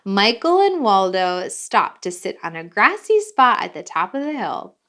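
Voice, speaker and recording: A woman reading a picture book aloud for children in an expressive way, pausing to put questions to young listeners. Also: mid-range voice. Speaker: children's read-along host. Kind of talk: animated picture-book storytelling.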